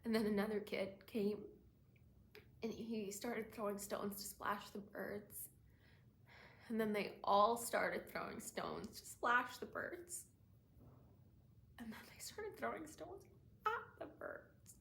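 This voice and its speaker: A woman playing a shaken young woman haunted by cruelty she witnessed, in a halting, emotional dramatic stage monologue. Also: medium pitch, fairly even intonation. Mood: uneasy, remembering. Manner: halting, hushed and breathy, swelling into anguished cries. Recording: roomy echo, faint background noise.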